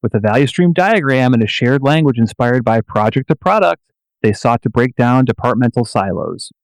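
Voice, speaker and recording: A man delivers scripted dramatic narration with solemn, deliberate phrasing. His voice sits at a medium pitch and is recorded close, with very little room sound. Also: expressive, gravelly, dry recording, rough recording.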